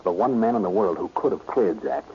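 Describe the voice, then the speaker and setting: A man's voice: sits low, animated, brisk, breathy edge, heavily acted. An American actor playing an earnest, anxious young male attorney in a 1940s radio drama. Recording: muffled old radio recording, loud hiss.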